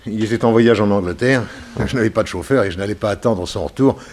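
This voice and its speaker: Actor as excitable, trusting businessman. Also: on the low side, fast talker, close to an everyday voice. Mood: subdued. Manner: hesitant, exclamatory, protesting. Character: wealthy businessman father, excitable, trusting, stubbornly naive. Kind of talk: 1960s French radio mystery serial acting.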